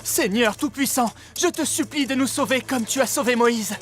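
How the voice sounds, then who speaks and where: An actor playing a devout, earnest young hero in a French animated Bible drama. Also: male voice, extremely high pitch, moderate pace. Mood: pleading. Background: music.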